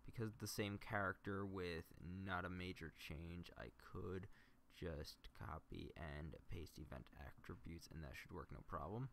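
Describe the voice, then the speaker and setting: A man's voice: low-pitched, a little slow, creaky, a bit bright, slight background noise. A man livestreaming a casual, unscripted tutorial, thinking aloud and muttering to himself as he works. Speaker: livestreamer demonstrating video editing. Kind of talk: casual unscripted tutorial commentary while working.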